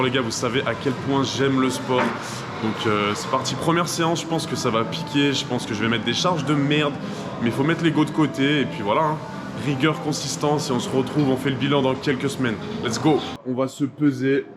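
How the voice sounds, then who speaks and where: A man's voice: medium pitch, fairly quick, background noise. A man from Lyon with a French accent from France, chatting casually and enthusiastically to camera in slangy, informal vlog style. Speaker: vlogger.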